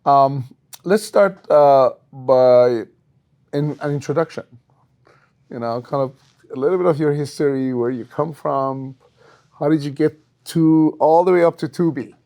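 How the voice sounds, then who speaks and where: A man's voice: fairly high pitch, measured pace, dark tone. A man hosting a business interview, friendly and a bit hesitant, with frequent uhs and small laughs. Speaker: interview host and investor.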